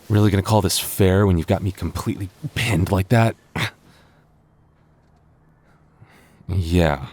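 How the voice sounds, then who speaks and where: A man's voice: low-pitched, a little mellow. A man playing a flustered, lovestruck young man in a boyfriend roleplay audio, stammering and bashful. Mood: playful, breathless protest. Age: young adult. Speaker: voice actor.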